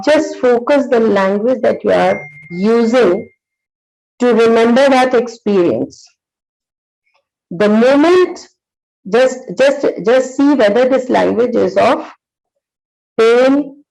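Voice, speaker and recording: A woman in her sixties with an Indian accent, giving a motivational talk as a psychologist. She tells stories earnestly, with many pauses and fillers. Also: fairly high pitch, vocal fry, a little mellow, rough recording.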